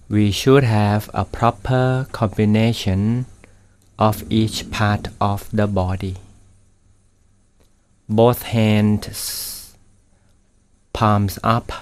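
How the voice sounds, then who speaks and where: A man, a Buddhist meditation guide speaking in a soft, gently instructive way with long pauses while leading a guided meditation. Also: on the low side. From Thailand. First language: Thai.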